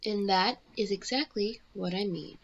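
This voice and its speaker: A woman's voice: mid-range voice, normal speed, gravelly, lo-fi sound, noisy background, lightly acted. A woman playing an aloof, dismissive teenage villain girl in a fan dub, cool and sardonic. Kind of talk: amateur fandub acting.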